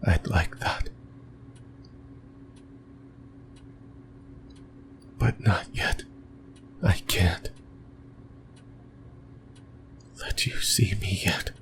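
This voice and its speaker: A man voicing a lonely, possessive sleep paralysis demon in a creepy, intimate ASMR monologue, whispering breathily and haltingly close to the mic. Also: low voice, vocal fry, heavily acted. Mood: uncertain, longing. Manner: slow, halting, rambling, intimate. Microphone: close microphone, whispering into the ear.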